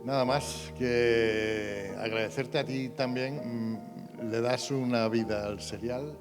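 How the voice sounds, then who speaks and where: A man's voice: mid-range voice, slow, over music. A man chatting informally as a guest, appreciative and repeating phrases for emphasis. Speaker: radio serial director and guest. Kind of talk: informal on-stage chat.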